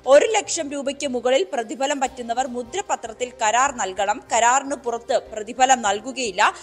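A woman's voice: a little high, normal speed. A young woman with a Kerala accent giving a live news report, hesitating and repeating phrases. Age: young adult. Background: news music bed.

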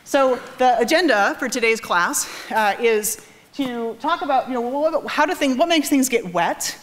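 This is a woman with a New England American accent and a somewhat high-pitched voice. She lectures informally, like a professor talking to a general audience. Her explanations are animated and often double back as she rephrases herself. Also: a little slow. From New England, United States. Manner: animated explaining, self-correcting with frequent false starts. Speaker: physics professor giving a lecture. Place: large auditorium stage.

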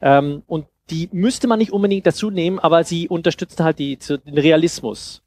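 A man's voice: on the high side, brisk, darkish tone, slight background noise. A man in his thirties speaking German in an informal, explanatory lecture style, conversational, on a headset mic. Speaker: festival speaker, sound designer. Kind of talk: informal lecture with audience questions. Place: indoor festival stage with couch.

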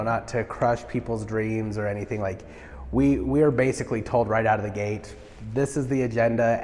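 A man's voice: on the low side, a little mellow, in a large hall. A man in his thirties, a party official, answering interview questions earnestly with many "uh" fillers, on a lapel mic in a marble stairwell. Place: large marble stairwell indoors.

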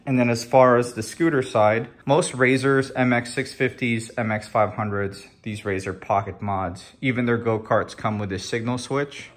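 A man's voice: medium pitch, normal speed, few pauses. A man giving a plain, step-by-step voice-over as he walks through a scooter repair. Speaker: DIY scooter builder. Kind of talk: tutorial voice-over.

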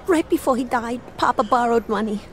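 An actress playing a young sister, soft and hesitant, in a game cutscene. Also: female voice, a little high, brisk, a little hiss or hum, lightly acted. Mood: worried. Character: young sister, anxious and gentle.

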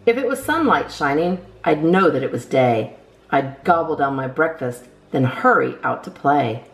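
Low-voiced woman reading children's poems aloud. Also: lively intonation, moderate pace. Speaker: children's storytime host and reader.

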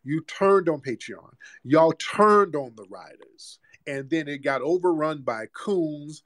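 A man's voice: fairly high pitch, lively intonation, slow pace, a bit bright, no room sound. Guy arguing on his call-in show, talks over people, repeats lines, dry close sound. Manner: combative, repeats himself, talks over caller. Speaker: host. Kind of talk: heated call-in debate.

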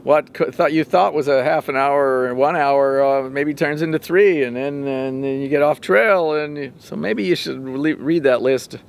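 A man's voice: a little high, moderate pace, gravelly, hardly any pauses. An older man, a mushroom club member, chatting casually on a forest walk in a rambling way, recorded outdoors by a nearby camera mic. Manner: rambling, chatty, acts out calls in a raised voice.

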